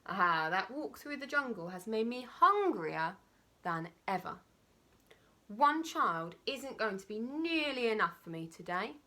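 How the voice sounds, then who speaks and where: Storybook villain: enormous gloating male crocodile, played by a woman. Slow, breathy, sly and menacing, with a bright edge. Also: very animated, exaggerated voice. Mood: greedy scheming. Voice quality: slow, low, often breathy near-whisper. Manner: menacing, relishing each word.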